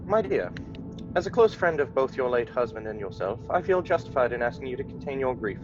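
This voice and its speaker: A man acts a courtly, doting gentleman with a mid-pitched voice. His speech is formal and genteel, old radio drama style, in a slightly dull recording. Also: big pitch swings, brisk, over music, close to an everyday voice. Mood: courtly, hesitant. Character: courtly, forward gentleman suitor, later doting husband. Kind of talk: old-time radio melodrama acting.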